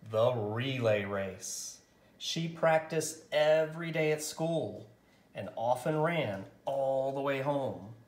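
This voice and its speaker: A man narrating a picture book aloud as a storyteller, with expressive, dramatic and deliberate phrasing. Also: medium pitch, roomy sound.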